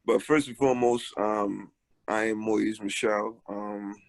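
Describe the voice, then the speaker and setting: A man's voice: lowish voice, steady tone, measured pace, creaky, dry recording. A man in his forties, from Haiti and raised in Brooklyn, talking casually as an author guest over a video call, with many filler ums. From Haiti, raised in Brooklyn, New York. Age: 40s. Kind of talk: casual interview talk.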